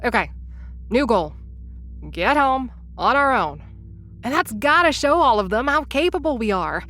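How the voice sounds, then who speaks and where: A woman's voice: a little high, very animated, unhurried, fairly bright tone, faint music behind. A woman playing a scatterbrained young would-be influencer and time traveler, narrating to the listener in a bubbly, impulsive, rambling way. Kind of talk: audio drama narration to the listener.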